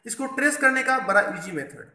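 Male repair instructor, bright forceful tone, explanatory tutorial delivery, echoey workbench recording. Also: very high voice, sing-song, brisk. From India. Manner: explanatory, mixes in English technical terms. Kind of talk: hands-on tutorial narration. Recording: echoey room, faint background noise.

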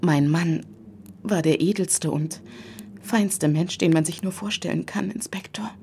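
A woman's voice: on the low side, unhurried, creaky, dark tone, slight background noise. An actress in a radio play voicing a grieving, dignified lady of the manor who speaks in a composed, guarded, formal way.

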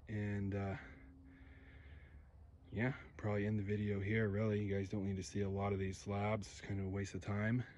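Low-voiced young man rambling outdoors. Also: slight background noise. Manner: relaxed, rambling, many ums. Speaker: video creator. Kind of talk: casual narration while working. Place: outdoor backyard.

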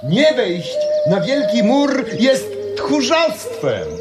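An actress playing a gushing, slogan-spouting festival enthusiast in a stylized radio drama. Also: female voice, a little high, sing-song, very slow, over loud music, exaggerated voice.

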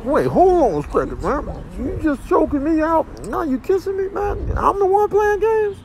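A man's voice: extremely high pitch, very animated, moderate pace, darkish tone, slight background noise, pitched above the performer's own voice, full character voice. A man voicing a flustered male animal in a comic voiceover, protesting with exasperation to his girlfriend. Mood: exasperated.

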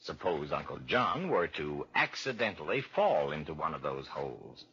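Ingratiating radio villain, calculating young relative, very husky, forceful, dull vintage recording. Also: male voice, a bass voice, very animated, moderate pace, exaggerated voice. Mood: scheming. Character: scheming nephew, smooth-talking, falsely polite, murderous. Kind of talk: 1940s radio acting.

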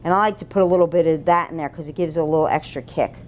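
Middle-aged woman demonstrating cooking, faint rumble. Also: very fast. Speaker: cooking demonstrator, food and beverage director. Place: indoor institutional kitchen. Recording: low rumble, faint room noise.

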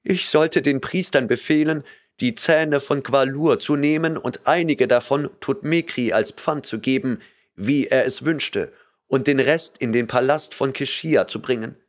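A man's voice: mid-range voice, unhurried, voice pushed up, lightly acted. A man voicing a terrified young woman who pleads and whimpers, breathless with fear, in an audiobook reading. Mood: fearful. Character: terrified young woman, a trembling false oracle.

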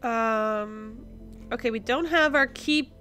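A woman's voice: on the high side, slow pace, sharp tone, soft background music. A woman giving casual let's play commentary as host, thinking aloud and exclaiming in surprise as she goes. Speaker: host of a gaming series.

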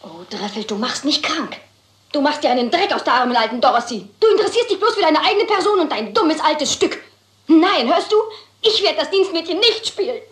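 A woman's voice: sits high, animated, brisk, fairly bright tone. A woman playing an outspoken, indignant young stage actress, scornful and dramatic, in a German crime radio play. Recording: roomy, echoing radio play sound.

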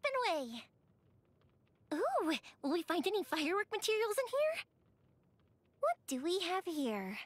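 A woman voicing a cheerful, sentimental young fireworks maker in a video game, with an expressive, chatty delivery. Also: extremely high pitch, dry recording, full character voice. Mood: bold, excited, then playful. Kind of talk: scripted video game character voice lines.

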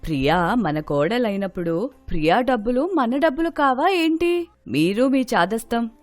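A woman's voice: a little high, expressive, a little slow, no room sound, soft background music. Woman playing a nosy, greedy older mother-in-law. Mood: dismissive. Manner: prying, self-justifying.

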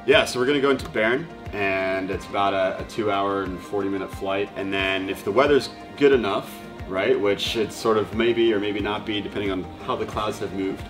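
Young male pilot, deep register, conversational explainer, clear music bed. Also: moderate pace, hardly any pauses. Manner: frequently tags statements with "right?". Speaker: pilot explaining flight planning. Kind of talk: casual explanatory chat. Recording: background music under speech.

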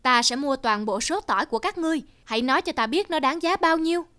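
A woman's voice: high voice, expressive, quick pace, pushed and bright. A woman voicing a grand, curious, easily delighted middle-aged king in a cartoon fairy tale, with pompous, exclamatory delivery. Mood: impressed.

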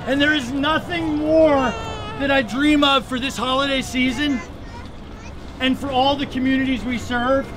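Male program organizer, very high pitch, earnest and rallying fundraising delivery over clear outdoor city noise. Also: fairly even intonation, moderate pace, crowd noise. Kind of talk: fundraising appeal to supporters. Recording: noisy outdoor urban recording.

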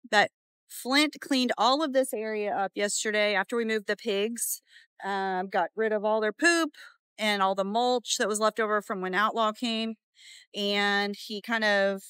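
A woman's voice: mid-range voice, sharp tone, clean tone, no echo. Chatty woman vlogging outdoors on a farm. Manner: chatty, explaining chores to viewers. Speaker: homestead vlogger. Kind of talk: casual vlog narration. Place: outdoors on a farm, riding in a utility vehicle. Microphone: phone held at arm's length.

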